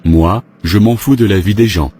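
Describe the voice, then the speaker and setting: An actor playing an impatient, insistent and sulky male lover in an animated soap drama. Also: on the low side, normal speed, a little hiss or hum, close to an everyday voice.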